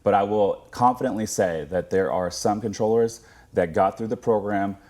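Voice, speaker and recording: Deep-voiced American man, earnest. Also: moderate pace, dark tone. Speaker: interview guest, former air traffic controller. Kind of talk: news interview answers.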